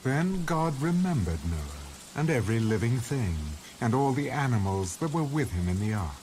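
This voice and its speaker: A man narrating scripture from a script as an audio Bible, solemn, formal and measured. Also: mid-range voice, very animated, darkish tone, a little hiss or hum. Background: music under the narration.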